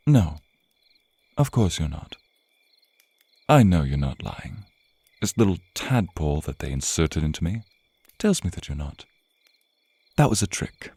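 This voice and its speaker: A man playing a suave, menacing, teasing vampire captor in an intimate ASMR roleplay, with theatrical, purring, drawn-out phrasing. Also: low voice, dark tone, no room sound, full character voice. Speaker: roleplay voice performer.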